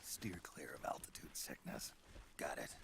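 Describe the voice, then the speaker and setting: An actor playing a grim, weary veteran soldier in a video game, with a gravelly, terse and brooding delivery. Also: male voice, rumbling low, expressive, normal speed, background noise, heavily acted. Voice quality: gravelly, gruff. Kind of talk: dramatic video game cutscene acting. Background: loud game music.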